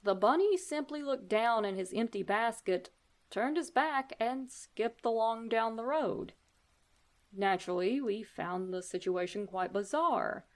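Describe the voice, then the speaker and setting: A woman host reading horror stories aloud in a deliberate, theatrical narrating style. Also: fairly high pitch, lively intonation. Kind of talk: dramatic scripted story narration.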